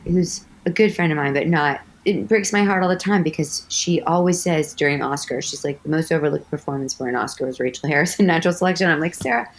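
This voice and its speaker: A woman with a low voice, chatting animatedly as an actress guest in an interview, telling stories with lots of "like". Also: low-quality recording. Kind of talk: casual interview storytelling.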